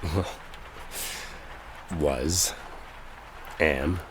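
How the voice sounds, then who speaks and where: Roleplay audio lead: male actor as smitten, sincere office colleague, deep voice, colloquial halting confession, faint rainstorm ambience behind. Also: noisy background, exaggerated voice. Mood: tender, regretful. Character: earnest lovestruck male coworker clearing up a misunderstanding. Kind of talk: intimate dramatic roleplay audio, one-sided conversation.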